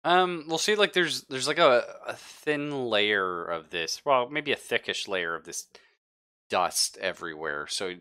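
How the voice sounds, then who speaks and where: A man narrating a tabletop game casually as game master, often pausing to search for his words. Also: medium pitch, animated, bright, forceful tone, clean tone.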